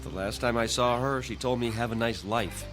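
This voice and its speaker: A man's voice: mid-range voice, moderate pace, music in the background, natural voice. An actor playing a skeptical, stubborn, wisecracking man in a radio drama, with a casual, blunt way of talking. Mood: wry, guarded.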